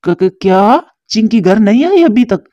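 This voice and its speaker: Woman playing a cartoon papa pigeon, mellow dark tone, tender, fretful, dull dry recording. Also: medium pitch, big pitch swings, unhurried, no room sound. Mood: worried. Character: doting, anxious father pigeon. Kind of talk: children's cartoon voice acting.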